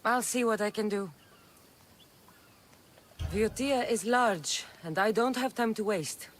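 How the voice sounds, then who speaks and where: A woman's voice: mid-range voice, takes it slowly, slight background noise, natural voice. An actress playing a tough, blunt but caring female mercenary in a video game. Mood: businesslike. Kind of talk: video game dramatic acting. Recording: game music underneath. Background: background music.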